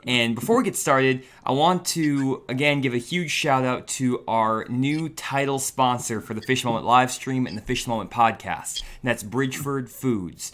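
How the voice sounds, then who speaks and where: A young man hosting a fishing livestream, chatty and upbeat, talking straight to viewers, his voice a little raspy and tired. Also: mid-range voice, moderate pace, hardly any pauses, fairly bright tone. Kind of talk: casual livestream talk.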